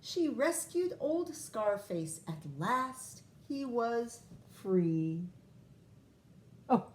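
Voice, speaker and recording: A woman teacher reads a picture book aloud for her first graders, taking it slowly. She stops often to ask the children questions and explain new words. A faint hum of room noise sits under her voice. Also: a little high, sing-song, a little mellow. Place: classroom or home, recorded for video.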